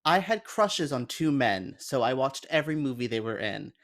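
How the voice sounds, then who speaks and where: A male guest talking casually on a discussion show. His voice sits at a middle pitch. He is chatty and self-deprecating, speaking as an unabashed fan. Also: sing-song, normal speed, a bit bright. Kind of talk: casual chat.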